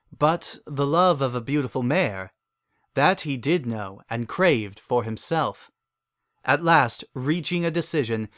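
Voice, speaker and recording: A man with a medium-pitched voice reads a fairy tale aloud. His storytelling is measured, formal and dramatic, and the recording is dry, with no room sound. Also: fairly bright tone, no echo. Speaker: narrator.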